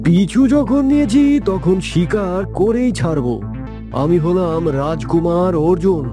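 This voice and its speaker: Man acting brash, high-pitched young warrior prince. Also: a little slow, over music. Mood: proud. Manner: boastful, defiant. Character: brash, fearless young hunter prince, proud and stubborn. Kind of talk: heroic fairytale cartoon acting.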